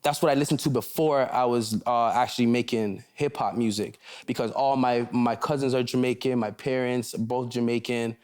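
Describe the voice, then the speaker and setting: Casting: young man from Canada, laid-back rapper, loose conversational talk, mid pitch. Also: fairly even intonation, normal speed, few pauses, darkish tone. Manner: laid-back, loose, repeats "you see what I'm saying". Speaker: rapper guest. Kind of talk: casual interview chat.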